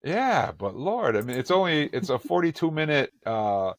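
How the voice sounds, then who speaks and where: A man's voice: a little high, moderate pace, no room sound, studio quality. A man co-hosting a podcast, chatting casually and animatedly as he reviews an episode. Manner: animated, exclaiming and joking. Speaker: podcast co-host.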